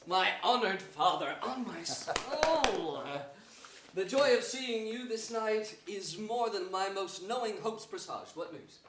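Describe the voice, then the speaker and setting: An actor playing a noble, hopeful young rebel earl, declaiming earnestly in a Shakespearean stage reading. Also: male voice, high voice, animated, slow pace, noisy background, exaggerated voice. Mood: joyful, respectful. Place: theater stage before an audience, indoors. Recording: echoey theater, distant microphone.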